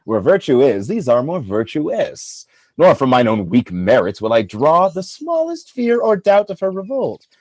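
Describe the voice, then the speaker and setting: Man acting a husky, jealous noble general. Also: fairly high pitch, wildly swooping pitch, unhurried, a little mellow, dry recording, muffled recording, heavily acted. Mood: proud, defiant. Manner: theatrical, declamatory verse delivery. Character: noble general husband sliding into anguished jealousy. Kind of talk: Shakespearean radio play acting.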